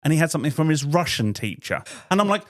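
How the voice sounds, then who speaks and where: A man's voice: a little high, very animated, fast talker. A man hosting a podcast, interviewing a guest in a jokey, teasing way. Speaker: podcast host. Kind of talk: casual interviewing.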